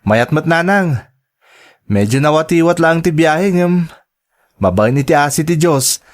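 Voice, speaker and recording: A man's voice: a little high, lively intonation, measured pace. A man playing an affectionate, earnest adult son working abroad, in a dramatic radio-style performance. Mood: affectionate, upbeat.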